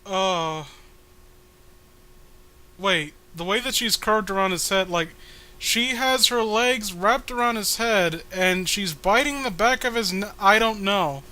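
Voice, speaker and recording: High-voiced, bright, sardonic man. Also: slow pace. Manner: crude, sardonic. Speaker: co-host reading a comic aloud. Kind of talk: mocking casual commentary and comic read-along.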